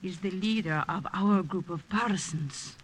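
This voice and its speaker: A woman's voice: fairly low pitch, normal speed, slight background noise, natural voice. An actress playing a doting, scolding old Italian grandmother with an Italian accent and fussing delivery, in vintage radio drama style. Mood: chiding, earnest. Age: older. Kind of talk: 1940s-50s radio drama acting.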